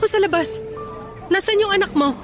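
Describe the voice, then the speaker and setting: Radio-drama role: sharp, high, bright-voiced nagging mother, bitter and reproachful. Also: normal speed, soft background music. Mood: scolding. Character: scolding poor mother, middle-aged, bitter and nagging. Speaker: actor.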